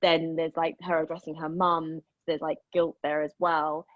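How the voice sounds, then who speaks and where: A woman giving casual, appreciative feedback as a cast member, speaking in halting phrases. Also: low voice, little pitch movement, no echo, highs cut off. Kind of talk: casual discussion.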